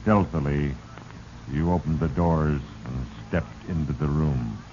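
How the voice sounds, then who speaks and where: A man playing Fate, a sinister all-knowing narrator in a 1940s radio drama, with a deliberate, accusing manner. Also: a bass voice, a little mellow. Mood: ominous. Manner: deliberate, heavy-handed, accusing. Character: Fate, sinister omniscient male narrator manipulating doomed men. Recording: muffled, noisy 1940s radio recording.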